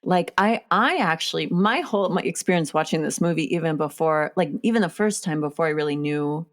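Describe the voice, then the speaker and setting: Woman, low-pitched voice, casual film discussion, emphatic, opinionated, sweary. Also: a little fast. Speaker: podcast co-discussant.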